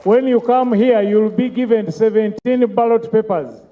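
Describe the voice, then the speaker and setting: This is a man running a party election. He gives curt procedural instructions and calls time on speakers. His voice is fairly high, and the recording is thin and phone-like. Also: normal speed. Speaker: presiding officer. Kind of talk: procedural announcements. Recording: tinny phone-like sound.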